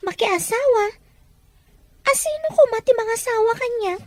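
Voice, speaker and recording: A woman's voice: extremely high pitch, expressive, moderate pace, no echo. A woman acting a wary, earnest young woman of humble means in a radio drama, with an Ilocano accent. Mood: puzzled, concerned. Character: Rita, young poor unschooled woman, wary, earnest, sisterly.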